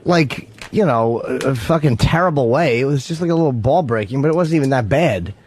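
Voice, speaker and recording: A man with a husky voice co-hosting casual radio banter, teasing in a halting way. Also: medium pitch, lively intonation, a little mellow, slight background noise. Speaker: radio co-host.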